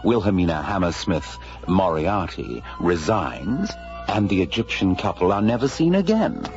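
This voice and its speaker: An actor playing a shrewd detective in a radio drama, deliberate and grandly deductive in manner. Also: male voice, sits low, very animated. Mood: triumphant, deducing. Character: shrewd, theatrical male detective lecturing his colleague. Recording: dull radio recording, music behind.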